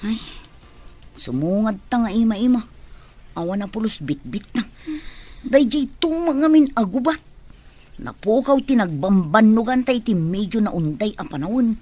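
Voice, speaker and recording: A woman's voice: medium pitch, animated, normal speed, pauses often, mellow, natural voice. A woman acting a frank villager in an Ilocano-accented radio drama.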